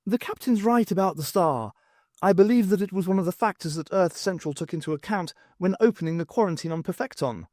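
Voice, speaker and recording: A man's voice: high voice, fairly quick, a little mellow, no room sound, studio quality, voice pushed up, lightly acted. A man voicing a composed young female ship's navigator in an audiobook, formal and precise. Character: young woman navigator, composed, well-informed officer.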